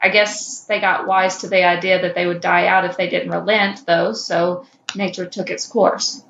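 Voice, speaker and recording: A small, cute survivor of a cursed kind read by a woman tells a grim fan fiction tale in a measured, somber way. The sound is slightly dull and echoing, with faint noise behind it. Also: fairly low pitch, level tone, creaky, a bit bright. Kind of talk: scripted first-person fan fiction reading. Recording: muffled, roomy, noisy home recording.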